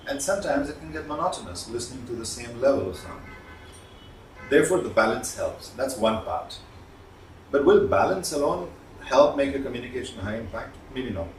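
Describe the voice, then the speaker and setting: A man lectures as a communication trainer in a roomy space, a little away from the microphone. He delivers points deliberately, with emphasis and pauses between them, at a middling pitch. Faint noise sits behind him. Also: animated. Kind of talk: instructional lecture to viewers. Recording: roomy, distant mic, faint background noise.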